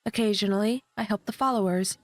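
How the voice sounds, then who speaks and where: An actress playing a calm, devout religious sister in a video game, speaking in a measured way. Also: female voice, medium pitch, lightly acted. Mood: serene. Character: serene, devout religious sister giving counsel. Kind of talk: video game character dialogue.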